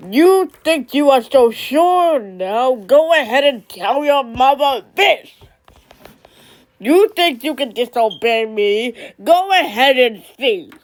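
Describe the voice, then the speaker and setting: A stern, controlling father, acted by a woman in a stage-style drama. The delivery is very slow and emphatic, with menace pressed into each phrase as he dresses down his son. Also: high voice. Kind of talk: dramatic solo monologue acting.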